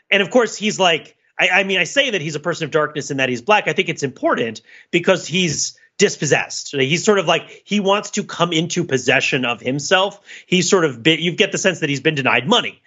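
A man co-hosting a casual, analytical film discussion, talking in an animated, enthusiastic way with many rambling asides. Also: fairly high pitch, moderate pace, lo-fi sound. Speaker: podcast co-host.

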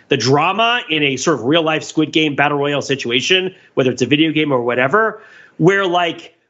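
A man hosting a podcast, chatting casually about TV in an animated, riffing way. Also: a little high, lo-fi sound. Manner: animated, riffing, acts out hypothetical quotes. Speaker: podcast host. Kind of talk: casual TV commentary chat.

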